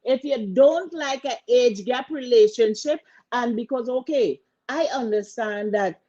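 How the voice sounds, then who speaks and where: A woman from Trinidad with a Trinidadian accent, chatting casually in an animated, colloquial way. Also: on the high side, measured pace, lo-fi sound. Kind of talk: casual chat.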